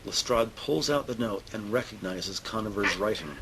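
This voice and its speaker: A man's voice: lowish voice, normal speed. A man giving scripted scene-setting narration for an audio drama, with noise behind him.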